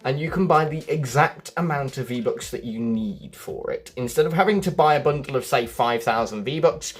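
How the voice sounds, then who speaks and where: A man's voice: mid-range voice, moderate pace, husky. A man giving casual, explanatory gameplay commentary as the host of a gaming video, with chatty asides. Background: background music.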